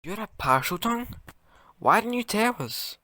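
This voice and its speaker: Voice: mid-range voice, sing-song, moderate pace, pushed and bright. An actor in an audio drama playing a blunt, startled schoolboy wizard with an incredulous way of talking.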